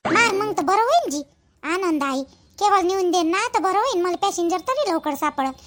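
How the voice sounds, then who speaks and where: A woman's voice: very high-pitched, animated, moderate pace, background noise. A woman voicing a busy, talkative village mother in a cartoon, rambling and repeating herself in colloquial Vidarbha Marathi. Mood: chatty, practical. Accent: Varhadi Marathi, Vidarbha. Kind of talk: rural family cartoon dialogue.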